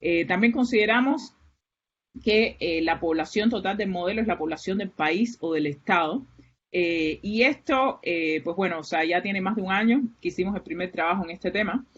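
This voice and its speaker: A woman's voice: on the low side, moderate pace. A woman from Cuba with a Cuban Spanish accent, giving an academic seminar with many fillers and restarts. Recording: muffled, lo-fi online lecture audio.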